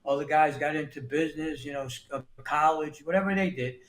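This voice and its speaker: A man in his sixties from New York City, speaking a little higher than most men. He talks plainly and often restarts his sentences. His voice rings in an echoey room. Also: brisk. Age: 60s. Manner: plain-spoken, repeats words and restarts often. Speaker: guest. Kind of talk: casual interview talk.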